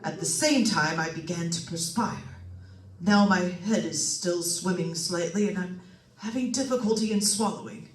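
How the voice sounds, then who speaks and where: A fairly high-voiced man acting as a solemn doctor investigating a haunting, who reports formally and precisely as in a vintage radio thriller. The recording is roomy and distant. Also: moderate pace, close to an everyday voice. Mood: breathless, grave. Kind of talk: old-time radio suspense drama acting. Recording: echoey room, distant mic.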